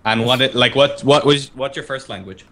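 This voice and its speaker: Young gamer, bright and punchy tone, chatty and inquisitive on voice chat. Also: male voice, mid-range voice, normal speed. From Ireland. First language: Irish. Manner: chatty and curious, asks the others questions. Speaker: player. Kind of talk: casual gaming chat.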